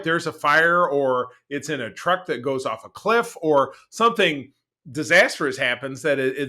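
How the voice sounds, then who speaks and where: Bright-voiced middle-aged man chatting. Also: medium pitch. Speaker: podcast co-host. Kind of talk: casual conversational podcast chat.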